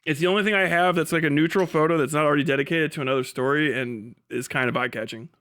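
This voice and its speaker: A man with a fairly high, clear voice hosts a livestream in loose, chatty fashion. His delivery is bright and forward, peppered with swearing and dry, dismissive sarcasm. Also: fairly even intonation, fast. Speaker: livestream host. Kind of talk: casual livestream chat.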